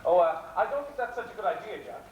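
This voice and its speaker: A man's voice: high voice, lively intonation, quick pace, phone-quality sound. A man playing an earnest, friendly young hero in a stage pantomime, projecting heartily. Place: live theatre stage. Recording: echoey theatre, distant camcorder, faint noise.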